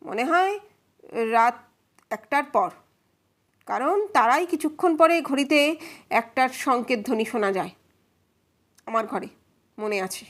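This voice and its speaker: A woman's voice: a little high, animated, unhurried, pauses often, a bit bright. A woman voicing a cautious male neighbour testifying in a courtroom audio drama, subdued and hesitant, hurrying through explanations.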